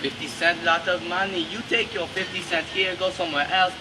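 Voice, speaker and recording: A man's voice: sits high, level tone, fairly quick, voice pushed up, close to an everyday voice. A man doing a stand-up impression of a shrewd, dismissive shopkeeper with a put-on Chinese accent and clipped, scolding broken English. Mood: haggling, mocking. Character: shrewd, dismissive Chinese male shopkeeper haggling over prices. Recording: noisy live recording from far off.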